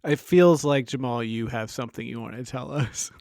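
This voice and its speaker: A man with an average-pitched voice co-hosts a casual podcast. He is dry and playful and goes along with the jokes. He sounds close and dry, with no room echo. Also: moderate pace, darkish tone. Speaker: podcast co-host. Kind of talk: casual chat.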